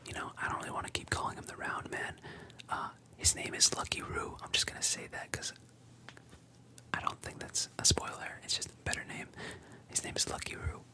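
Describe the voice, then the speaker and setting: A storyteller retelling a cartoon episode in a soft, unvoiced whisper with casual asides. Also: a little fast, rough recording.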